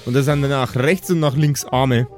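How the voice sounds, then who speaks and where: A man acting as game master, describing scenes off the cuff in a casual, halting way. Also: medium pitch, steady tone, a little mellow, soft background music.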